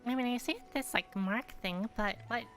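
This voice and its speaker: A woman's voice: fairly high pitch, moderate pace, a bit bright, faint music behind. A woman giving casual gameplay commentary, thinking aloud in a hesitant way as she plays. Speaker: gamer, streamer.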